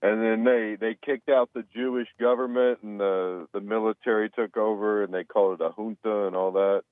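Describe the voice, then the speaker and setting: Texas caller guy on a phone line, laid back and rambling, kinda low voice. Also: fairly even intonation, normal speed, dry recording. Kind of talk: casual phone-in chat.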